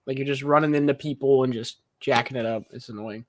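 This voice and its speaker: A young man co-hosting a live sports podcast, chatting excitedly and rambling through his reactions to the game. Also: mid-range voice, no room sound. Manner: excitable, rambling, quick asides. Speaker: podcast co-host.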